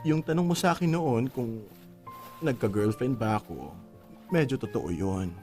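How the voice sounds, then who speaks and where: An effeminate Filipino father in his fifties as a male actor plays him in a radio drama. The voice is campy and emotional, swinging widely in pitch, with music underneath. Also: lively intonation, unhurried, a little mellow, lightly acted. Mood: hesitant, confessing. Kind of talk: conversational radio drama acting. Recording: music bed under the dialogue.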